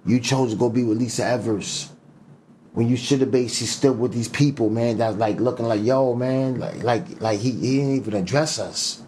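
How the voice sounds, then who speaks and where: A man's voice: medium pitch, steady tone, normal speed, warm tone, a little hiss or hum. A man talking in an interview as a guest, emphatic and profane, full of fillers and slang. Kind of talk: casual interview talk.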